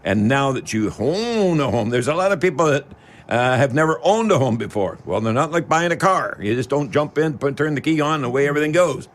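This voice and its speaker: Halting, folksy male radio host. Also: medium pitch, animated. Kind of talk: casual radio talk with listeners and a guest. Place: radio studio.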